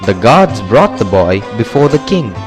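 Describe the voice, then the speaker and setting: A man narrating a children's story in a clear, expressive way. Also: medium pitch, a little slow. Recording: music under the narration.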